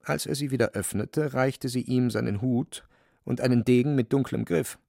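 Man, gravelly voice, dry acoustics, scripted storytelling, radio drama narrator. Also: mid-range voice, moderate pace, warm tone, no room sound.

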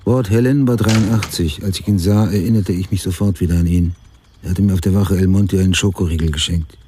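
An actor playing a grave, hard-boiled crime writer, narrating tersely in a radio drama. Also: male voice, low-pitched, fairly even intonation, a little fast, slight background noise, lightly acted. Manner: terse, clipped sentences. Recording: loud music behind the voice.

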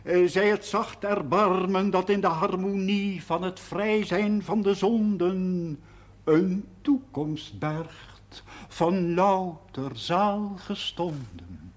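A man's voice: sits high, takes it slowly. An actor playing an earnest amateur playwright who declaims his pompous religious verse in a 1970s television drama. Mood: solemn rapture. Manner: theatrical declaiming, hesitant between recitations. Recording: noisy 1970s television soundtrack.

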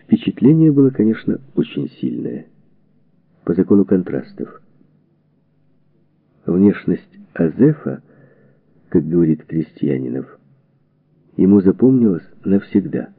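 A man reading a historical book aloud as an audiobook narrator, with measured, expressive storytelling and deliberate phrasing. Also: deep voice, gravelly, slightly rough, dry recording. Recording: dull phone-like narrow-band sound, dry.

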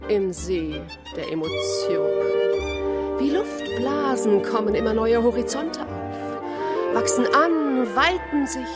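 Dreamy poetic narrator lady, really slow drawn-out lines, radio drama, loud violin under it. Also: a little high, full character voice. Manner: lyrical, drawn-out phrases. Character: dreamy, rapt woman narrator of a surreal inner world. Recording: loud violin music behind the voice. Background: violin music.

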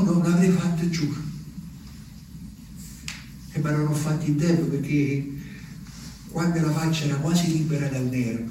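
A man's voice: mid-range voice, dark tone, far from the mic, a little hiss or hum, lightly acted. Actor as a haunted country man. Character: simple country man wandering the woods, gentle and haunted. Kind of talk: radio drama monologue. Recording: echoey radio broadcast, voice a bit off mic.

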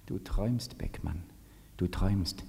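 A calm inner voice of hope in an old radio drama. It is low, dark and husky, speaking very slowly with soothing, earnest insistence. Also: male voice, full character voice. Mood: soothing, urging. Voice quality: hoarse, husky. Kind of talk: 1940s radio play acting. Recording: vintage 1940s radio recording.

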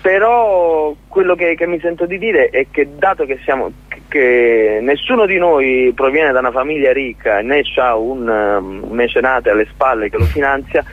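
Halting man on a tinny phone line. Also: mid-range voice, no room sound. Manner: halting, many restarts and repeated words. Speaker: guest from a theatre collective. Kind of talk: casual radio interview.

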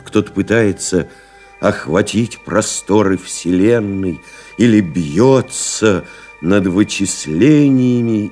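An actor playing a reflective male pilot in an audio play, delivering a measured, contemplative literary monologue. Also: deep voice, dark tone, full character voice. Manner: measured, contemplative, deliberate phrasing. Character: reflective male French pilot recalling his flights. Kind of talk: dramatic literary monologue, scripted narration.

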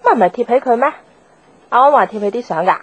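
An actress playing a blunt, sarcastic young office worker in a radio drama, dry and offhand. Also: female voice, a little high, expressive, quick pace, husky, muffled recording. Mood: offhand, dismissive. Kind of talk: Cantonese radio drama dialogue. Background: background music.

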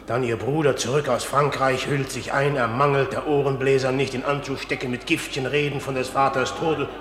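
A man plays a middle-aged king of stage tragedy, scheming and burdened. He declaims in a mid-pitched voice with weighty, commanding phrasing. A long reverb trails every word. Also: little pitch movement, moderate pace, a bit bright, close to an everyday voice. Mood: troubled, grave. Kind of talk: German classical radio drama acting. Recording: echoey hall with long reverb.

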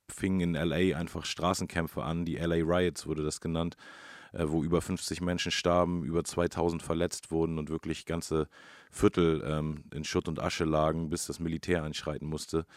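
Deep-voiced man hosting a music feature. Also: no echo, clean studio sound. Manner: colloquial. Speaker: host. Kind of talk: casual storytelling about hip-hop history.

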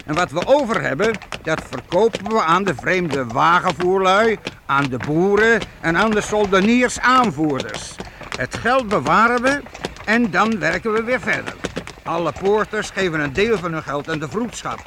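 An actor playing a proud, defiant master weaver who leads armed townsmen in a medieval radio play, assured and paternal. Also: male voice, high-pitched, expressive, normal speed, noisy background. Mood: proud, instructive. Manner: assured, explanatory, addresses youngsters paternally.

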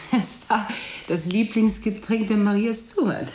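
A woman's voice: medium pitch, slow pace, darkish tone, roomy sound, a little hiss or hum, lightly acted. A woman playing a middle-aged, worldly artist's wife in a radio drama, chatty, affected and patronising. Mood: patronising, needling. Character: condescending, worldly artist's wife, secure and slyly needling. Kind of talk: German radio play acting.

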